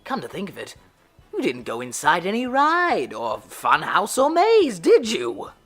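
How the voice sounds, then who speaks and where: A woman playing a sarcastic, sinister male clown villain in an audio drama, with a creaky voice and mocking, drawn-out delivery that swings from murmurs to shouts. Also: mid-range voice, wildly swooping pitch, faint music behind. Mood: cold, gloating.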